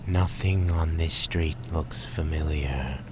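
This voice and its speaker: A man narrating a Halloween radio drama in a slow, ominous, theatrical storytelling manner, with a breathy, hushed delivery. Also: very deep voice, fairly even intonation, background noise. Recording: music under the voice. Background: music.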